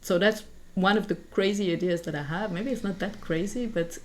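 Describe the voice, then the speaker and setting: A woman's voice: medium pitch, normal speed, a little hiss or hum. A woman from Switzerland in her forties, a guest academic answering interview questions in earnest, thinking aloud with many fillers and restarts. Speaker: guest, assistant professor and founder.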